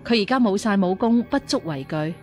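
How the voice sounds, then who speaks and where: An actress playing a stern, shrewd martial-arts nun of royal birth, measured and commanding, in a Cantonese radio drama. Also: female voice, mid-range voice, animated, darkish tone, soft background music, close to an everyday voice. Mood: authoritative. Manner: measured, authoritative.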